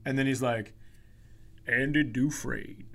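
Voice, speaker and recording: A man's voice: mid-range voice, fairly even intonation, slow, vocal fry, fairly bright tone. A man co-hosting a comedy podcast in casual banter, with dry wisecracks and some swearing.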